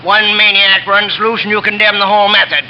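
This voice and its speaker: Smug, condescending mill supervisor with a high-pitched voice, muffled old radio sound. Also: male voice, little pitch movement, fairly quick, sharp tone, a little hiss or hum. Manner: condescending, self-righteous, defensive.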